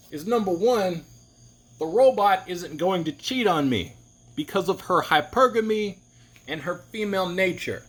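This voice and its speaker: A man giving an opinionated, rambling monologue to camera, often restarting his sentences. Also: fairly bright tone. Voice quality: deep voice. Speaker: vlogger.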